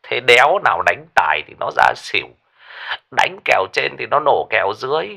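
A bitter, foul-mouthed gambler in a spoken story, played by a male narrator in a louder, harsher voice. He rants and scolds with a Northern Vietnamese accent, and his pitch swings hard from line to line. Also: big pitch swings, brisk, creaky, heavily acted. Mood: ranting. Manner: swearing, ranting and scolding.

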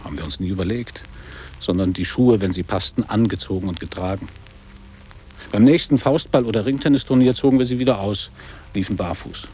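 An actor playing a guarded, wistful middle-aged man looking back on boarding school in a measured, literary radio play delivery. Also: lowish voice, mellow, a little hiss or hum, lightly acted. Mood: insistent, remembering. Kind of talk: German radio play acting.

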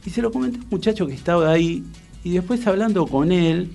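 A man with a Rioplatense Argentine accent hosting a radio interview, telling anecdotes in a casual, animated way and acting out what people said. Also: sits high, fast talker, gravelly, soft background music. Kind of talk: casual chat, storytelling.

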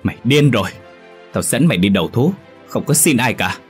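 A man voicing a stern older village father who scolds and shouts at his son, in a storybook reading. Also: lowish voice, animated, brisk, soft background music, lightly acted.

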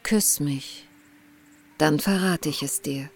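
A seductive queen in a radio drama. She speaks slowly in a lowish voice, languid and coaxing, playful yet used to command. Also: soft background music. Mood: teasing, commanding. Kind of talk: literary radio drama acting.

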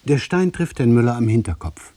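A man narrating a radio play from a literary script, deliberately, in short phrases set apart by pauses. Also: mid-range voice, big pitch swings, dark tone, dry recording.

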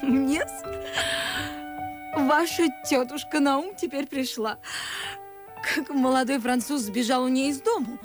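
An actress playing a pert, merry, cheeky but deferential young maidservant in a verse radio play, with lively theatrical delivery. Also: female voice, high-pitched, a little slow, pauses often. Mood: giggly, gossiping. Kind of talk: classic verse stage acting for radio. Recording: faint music under the voice. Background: music.

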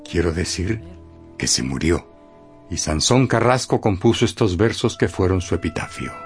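Deep-voiced male radio drama narrator. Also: lively intonation, normal speed, soft background music.

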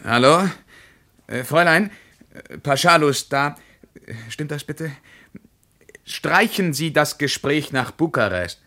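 An actor playing an insistent, easily flustered German traveller in a radio play. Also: male voice, fairly high pitch, expressive, takes it slowly, long pauses, pushed and bright, heavily acted.

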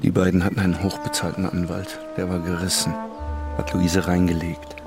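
Radio drama: haunted, bitter cop. Low register, deliberate short phrases, scored with music. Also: male voice, level tone. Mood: wistful, bitter. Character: grim, embittered policeman haunted by his sister's fate. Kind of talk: German radio drama acting, intimate confession.

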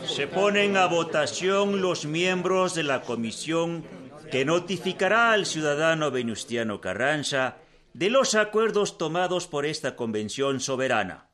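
A man's voice: on the high side, fairly bright tone. An actor playing a formal convention chairman, ceremoniously announcing in a radio drama. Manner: ceremonious, measured.

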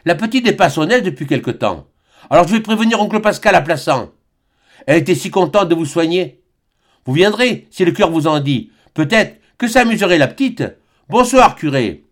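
A rough old peasant uncle sneers and taunts at the top of his voice, as a male reader puts him on. His pitch jumps high and swings wildly. It sounds like an audiobook reading. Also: lively intonation, normal speed. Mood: cool, offhand. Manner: jeering, loud and high.

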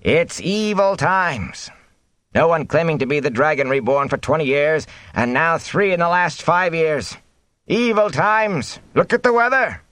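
An alarmed villager as a man reading the tale acts it out, pitched high with big swings. The delivery is fearful and accusing, crying out in a fantasy storybook. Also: expressive, a little slow, fairly bright tone, no echo. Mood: gloomy, alarmed. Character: alarmed, quarrelling villagers in a panicked crowd.

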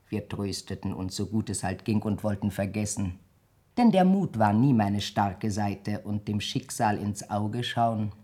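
A worn old mother in a radio verse play. Her voice is husky and unhurried, grieving and declamatory, full of rueful love for her son. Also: extremely low pitch, dark tone, full character voice. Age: older. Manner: declamatory, lamenting. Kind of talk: theatrical radio verse drama.

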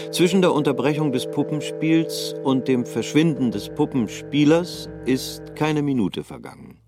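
Male narrator, steady measured reading, faint music under, radio play. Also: medium pitch. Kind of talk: scripted narration.

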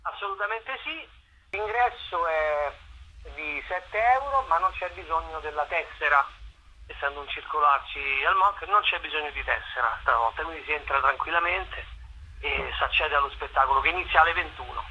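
A man's voice: a little high, moderate pace, sharp tone. A man, an actor being interviewed informally on the radio over a telephone line. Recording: muffled, noisy radio phone line.

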